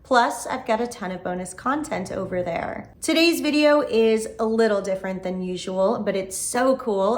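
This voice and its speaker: Enthusiastic American woman vlogger. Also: medium pitch. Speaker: video host introducing archive audio. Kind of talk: casual vlog introduction.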